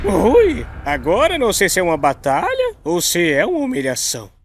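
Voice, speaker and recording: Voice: mid-range voice, huge pitch swings, moderate pace. An actor voicing a brash, mocking young male anime hothead in a parody debate, taunting and exclamatory. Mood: mocking, dramatic.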